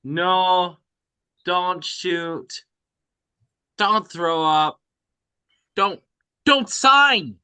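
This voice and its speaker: A man voicing an excitable, pleading young boy in an adventure script. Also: sits high, very slow, long pauses, clean tone, no echo, voice pushed up, full character voice. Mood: excited, urgent.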